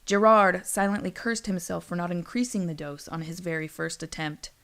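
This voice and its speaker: A woman's voice: lowish voice, moderate pace, creaky, fairly bright tone. A woman storyteller reading scripted fantasy narration in an audiobook manner.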